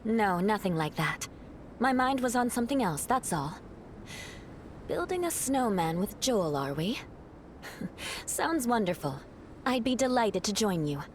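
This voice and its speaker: A woman's voice: medium pitch, very animated, measured pace, pauses often, slight background noise. An actress playing a reserved, aristocratic female knight captain who speaks formally and with composure. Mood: gracious. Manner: formal, composed, slightly aloof.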